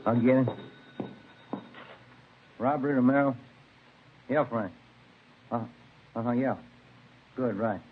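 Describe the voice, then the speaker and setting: An actor playing a plainspoken, easygoing American police detective in a 1950s radio crime drama, casual and methodical. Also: male voice, mid-range voice, slow, pauses often, husky. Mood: plain, inquiring. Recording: thin, phone-like vintage radio recording.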